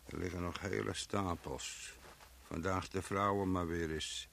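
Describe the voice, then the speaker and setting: An actor playing a musing, matter-of-fact macabre worker in a radio play, with a gravelly voice. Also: male voice, steady tone, a little slow, fairly bright tone, a little hiss or hum, exaggerated voice. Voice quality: deep, gravelly.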